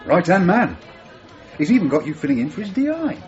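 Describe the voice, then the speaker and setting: An actor playing a cheery, teasing police detective in a naturalistic radio drama, talking in a matey, joshing way. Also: male voice, high-pitched, very animated, brisk, soft background music. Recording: dull radio drama recording, pub crowd.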